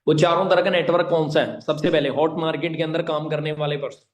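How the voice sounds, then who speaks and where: A man with a slightly high voice teaches an online meeting informally. He speaks emphatically, repeats key points and puts questions straight to his listeners. English words are mixed into his speech. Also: little pitch movement, quick pace, highs cut off. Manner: emphatic and repetitive, addressing listeners directly with rhetorical questions. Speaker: online network-marketing trainer.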